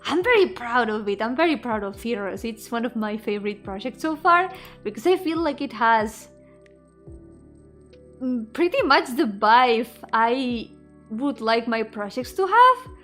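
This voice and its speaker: A woman with a fairly high voice chats casually on a livestream. She is effusive and giggly, looping back over her words and letting sentences trail away. Faint music plays behind her. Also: animated, a little slow, fairly bright tone. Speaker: VTuber streamer and indie game developer.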